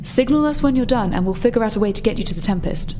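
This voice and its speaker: A woman playing a brisk, practical rebel contact in a tabletop roleplay, explaining things matter-of-factly. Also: a little high, expressive, noisy background, close to an everyday voice. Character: female rebel contact, brisk, practical, slightly flustered insider technician.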